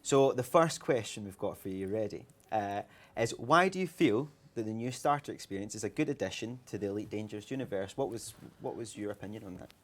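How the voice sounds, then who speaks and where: A man's voice: on the low side, lively intonation, a little fast, hardly any pauses. A young Scottish man hosting a livestream interview, chatty and upbeat with plenty of ums. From Scotland. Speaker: livestream host. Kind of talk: casual interview chat.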